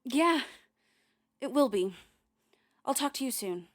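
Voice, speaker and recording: An actress playing a determined, protective daughter in a radio drama, speaking bluntly and earnestly in dialogue and narration. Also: female voice, high voice, lively intonation, slow pace, full character voice. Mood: subdued. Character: Jess, determined, defiant young woman, protective daughter. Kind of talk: radio drama acting and first-person narration.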